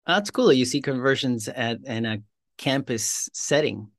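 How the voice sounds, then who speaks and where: A man's voice: medium pitch, lively intonation, a little slow, no room sound, studio quality. A man hosting a podcast, asking friendly questions and rephrasing them as he goes. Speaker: podcast host. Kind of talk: casual interview hosting.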